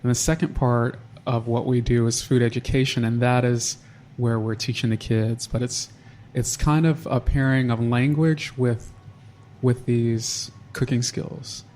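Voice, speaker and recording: A man guest on a podcast, talking through how he started a charity in a halting, unhurried way with frequent restarts. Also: mid-range voice, fairly even intonation, a little mellow, slight background noise. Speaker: nonprofit founder, guest. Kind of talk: casual interview.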